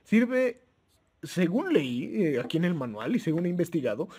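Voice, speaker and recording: Higher-voiced man, slightly husky, casual reviewer. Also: big pitch swings, moderate pace, a little mellow. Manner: hesitant, repeats words and self-corrects often. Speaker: presenter of a gadget review video. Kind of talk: casual product review, informal demonstration. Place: outdoors.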